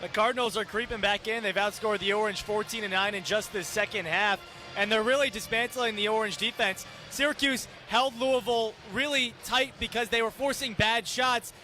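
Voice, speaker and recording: A man's voice: high-pitched, fairly even intonation, moderate pace, sharp tone, soft background music. A man as radio color commentator, giving live basketball analysis with arena noise around him. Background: basketball arena ambience.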